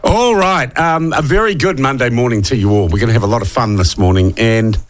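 A middle-aged man with a New Zealand accent, husky from a head cold, hosting a talk radio show in a sarcastic, opinionated monologue. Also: medium pitch, fairly quick, soft background music. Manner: sarcastic, scornful asides.

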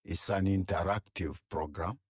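An older man, a longtime choir member, answering calmly and briefly as a radio guest. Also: deep voice, normal speed, no echo, clean studio sound. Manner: calm, brief, affirming. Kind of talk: studio interview guest. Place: radio studio.

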